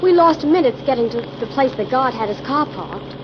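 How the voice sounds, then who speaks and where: An actress playing an earnest, anxious young assistant to an investigator, in 1940s radio style. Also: female voice, high voice, animated, normal speed. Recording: noisy, dull old radio recording.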